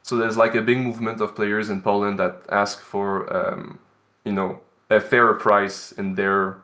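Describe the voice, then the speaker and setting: A man's voice: fairly low pitch, flat delivery, a little slow, bright, forceful tone. A man from Canada, an indie game developer, answering interview questions in an earnest, explanatory way with lots of "like" fillers.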